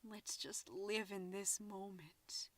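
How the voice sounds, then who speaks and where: A woman voicing a smooth, melodramatic male sweetheart in a comic book recap, in a slow breathy whisper. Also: mid-range voice. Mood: seductive. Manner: slow, hushed, seductive. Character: Johnny James Johnson, smooth, melodramatic male romance sweetheart.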